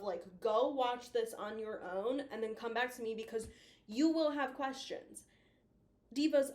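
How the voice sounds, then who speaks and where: A woman's voice: on the high side, echoey room. A woman chatting casually as a video host giving commentary, playful and teasing, with the odd mild swear. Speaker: YouTube host reviewing analog horror.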